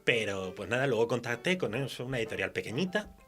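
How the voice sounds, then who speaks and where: A man with an accent from Spain chatting casually as a guest writer in a radio interview, telling stories in an animated, self-mocking way. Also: mid-range voice, quick pace, faint music behind. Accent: Spanish from Spain.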